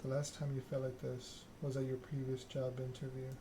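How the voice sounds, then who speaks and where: A man streaming a text game, reading narration aloud in a soft-spoken ASMR style with casual chat. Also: medium pitch, completely flat delivery, normal speed. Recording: clear background noise.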